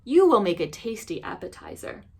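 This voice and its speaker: Woman voicing a growling, boastful monster, loudly theatrical. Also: medium pitch, huge pitch swings, normal speed, vocal fry, slightly rough, exaggerated voice. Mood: menacing, hungry. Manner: growling, groaning, spitting out words. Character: horrible ancient male monster, hungry, boastful, menacing.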